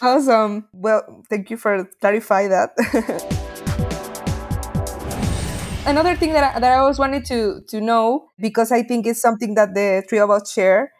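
A woman's voice: fairly high pitch, moderate pace, pauses often. Woman with over music. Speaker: podcast co-host. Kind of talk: guest introduction and interview questions.

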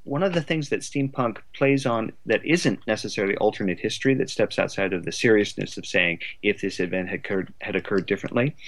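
A man's voice: fairly low pitch, a little fast, vocal fry, few pauses. A man speaking as an interview guest in a reflective, discursive and articulate conversational way. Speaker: podcast guest, writer.

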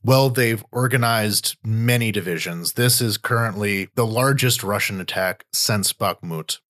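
A man's voice: on the low side, unhurried, a bit bright. A man hosting a podcast, talking through war news in a loose, conversational way and often restarting his sentences. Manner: loose, thinking aloud with frequent restarts. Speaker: podcast host and war reporter.

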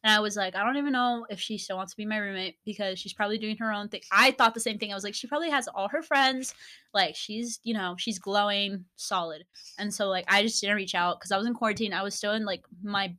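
Bright-voiced young woman, bubbly chatter. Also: fast talker. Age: young adult. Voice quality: deeper voice than expected. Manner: bubbly, loud laughs, rambling asides. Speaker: podcast co-host. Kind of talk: casual chat.

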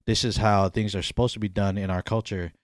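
A man's voice: lowish voice, fairly quick, no room sound, clean studio sound. A man from Hawaii hosting a podcast, chatting casually and rambling a bit as he explains things. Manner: relaxed, rambling, often says "you know what I mean". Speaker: podcast host.

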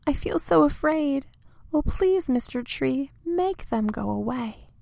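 A woman voicing a small, scared young male tree in a children's storybook, speaking high and pleading. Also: lively intonation, takes it slowly, darkish tone, dry recording, clean studio sound, full character voice. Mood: frightened.

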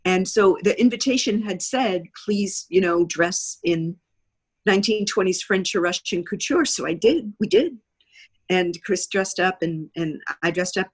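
Woman, podcast guest, slightly husky voice, chatty, casual storytelling, medium pitch. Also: expressive, unhurried. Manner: chatty, anecdotal, acts out quoted remarks. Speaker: podcast guest, theatre producer. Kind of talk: animated storytelling in casual interview.

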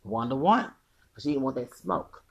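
A man's voice: mid-range voice, expressive, normal speed, vocal fry, a bit bright, husky. A man hosting a solo podcast in a rambling, profane rant, with a feminine-sounding voice and frequent laughing, sing-song asides. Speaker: podcast host.

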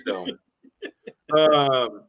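A man's voice: on the high side, lively intonation, extremely slow, vocal fry, a bit bright. A man hosting a casual podcast chat, laid-back and peppering his talk with dude and bro. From Washington state. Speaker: podcast host. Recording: dull lo-fi sound.

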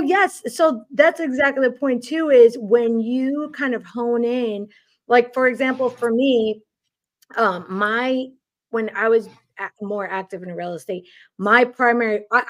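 New lady hosting a podcast, kinda high voice, chatty and quick, lots of restarts. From New York. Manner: fast, chatty, frequent restarts and fillers. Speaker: podcast host. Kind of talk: casual interview chat.

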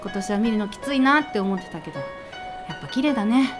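A woman's voice: medium pitch, expressive, fast, music in the background, natural voice. A woman playing a drunk, heartbroken woman in her thirties, a brash senior colleague with blunt, dramatic grumbling, in an amateur radio drama. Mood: wistful, brightening.

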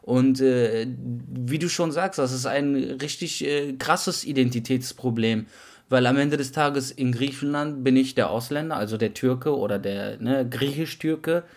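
A man's voice: medium pitch, measured pace. A young man from Germany co-hosting a casual podcast chat, using street slang, filling his talk with fillers and restarting his sentences. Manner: slangy, calls the other man brother, many fillers and restarts. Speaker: podcast co-host.

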